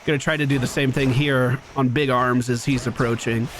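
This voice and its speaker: A man's voice: mid-range voice, fairly even intonation, a little fast, slight background noise, poor audio. A man streaming a video game, giving casual play-by-play commentary on his own moves with asides to viewers. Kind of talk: live gameplay commentary, casual.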